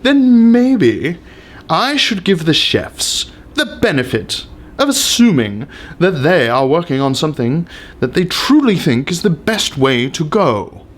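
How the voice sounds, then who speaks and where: A man's voice: on the high side, very animated, a little slow. A man giving a pompous, theatrical dramatic reading of an essay with exaggerated emphasis.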